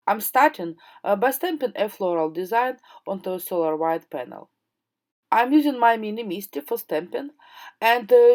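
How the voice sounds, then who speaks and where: A woman with a hoarse voice from a cold, calmly explaining a craft project step by step in a voice-over, with frequent pauses. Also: medium pitch. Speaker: crafting tutorial host.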